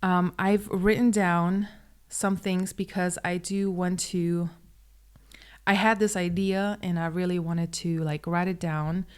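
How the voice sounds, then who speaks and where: A young woman whose first language is German talks slowly and earnestly to her viewers, with plenty of ums and pauses. Her voice is smooth and clear and sits a little low. She sounds open and unhurried. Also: flat delivery, a little mellow. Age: 20s. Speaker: vlogger. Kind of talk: casual personal vlog talk.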